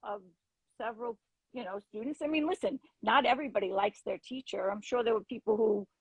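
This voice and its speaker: A woman's voice: fairly high pitch, fairly quick, breathy edge, no room sound, low-quality recording. A woman who is a former schoolteacher chatting on a live stream, telling stories emphatically. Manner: emphatic storyteller, lively and expressive. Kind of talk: casual chat.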